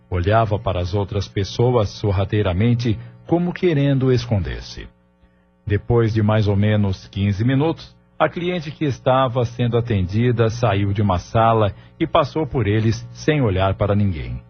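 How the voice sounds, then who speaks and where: A man's voice: on the low side, husky. A man reading scripted narration for an audio drama as its narrator. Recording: muffled studio narration over music.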